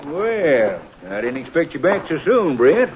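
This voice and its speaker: An actor playing a gruff older ranch owner who hollers, chuckles and talks in a folksy way, in an old radio drama. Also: male voice, a little high, very animated, unhurried, background noise. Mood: gruff welcome. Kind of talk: 1950s radio drama acting. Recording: muffled old radio recording.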